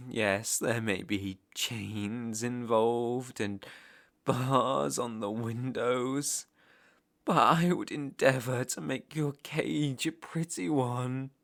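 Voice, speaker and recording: A British man playing an obsessive, menacing ex-lover in an ASMR roleplay, speaking with deliberate mock tenderness and possessive mockery. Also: medium pitch, creaky, fairly bright tone, heavily acted. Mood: menacing devotion. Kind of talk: ASMR roleplay audio drama, intimate second-person acting.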